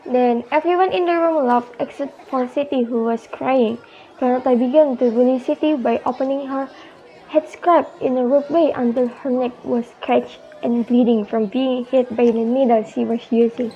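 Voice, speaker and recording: A woman's voice: high voice, fairly even intonation, normal speed, highs cut off, a little hiss or hum. A young adult woman with an Indonesian accent reading scripted narration for a radio drama.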